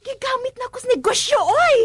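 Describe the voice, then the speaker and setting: A woman's voice: extremely high pitch, big pitch swings, a little fast, a bit bright, no echo, full character voice. An actress with a Cebuano Filipino accent playing a woman in a domestic radio drama. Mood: whining, defensive.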